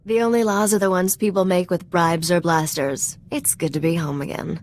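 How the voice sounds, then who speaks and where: A woman's voice: lowish voice, fairly even intonation, moderate pace, a little hiss or hum, lightly acted. A woman playing a cool, confident smuggler's partner in a video game cutscene. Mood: cool, assured. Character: confident worldly young female smuggler's partner, cool and sly.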